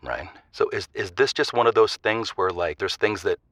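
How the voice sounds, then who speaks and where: A man playing an earnest, rambling small-town caller in a comic audio drama, with a husky voice and emphatic, fussy delivery. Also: fairly low pitch, normal speed, fairly bright tone, dry recording, studio quality, close to an everyday voice. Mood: puzzled, fussy.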